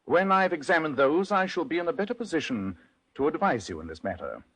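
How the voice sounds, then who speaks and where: An actor playing a shrewd, composed gentleman detective in an old-time radio drama, speaking precisely and with formal courtesy. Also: a little high, big pitch swings, brisk. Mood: analytical. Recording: vintage radio drama recording.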